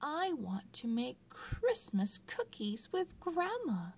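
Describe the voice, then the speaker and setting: An opinionated little storybook girl, voiced by a woman reader, high in pitch and very slow. She stretches her words emphatically, with lively swoops. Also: breathy edge, full character voice. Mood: eager.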